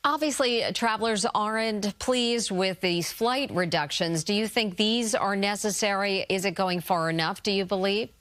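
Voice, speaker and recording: A woman's voice: medium pitch, moderate pace, hardly any pauses, a bit bright. An American woman working as a news anchor, putting questions to a guest in broadcast interview style.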